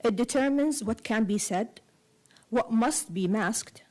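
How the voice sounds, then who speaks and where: A woman novelist who grew up between Kuwait and Saudi Arabia, a native Arabic speaker, reading a prepared essay aloud in measured, deliberate phrases. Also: on the high side, a little mellow. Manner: measured, deliberate phrasing in short cadenced clauses.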